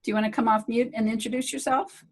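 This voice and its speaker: A woman's voice: a little high, fairly even intonation, fast talker. A woman hosting a casual video call Q&A as a medical director, chatty and friendly with rambling restarts.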